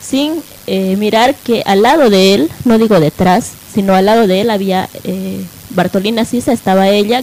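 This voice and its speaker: A woman presenting on a live radio talk show, halting and often adding tag questions to seek agreement. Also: medium pitch. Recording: noisy live radio with steady hiss.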